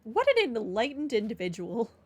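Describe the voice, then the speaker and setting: A woman's voice: on the high side, very animated, moderate pace, a little hiss or hum. Understated woman gamer over game music. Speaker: gamer, playthrough host. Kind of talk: casual game commentary.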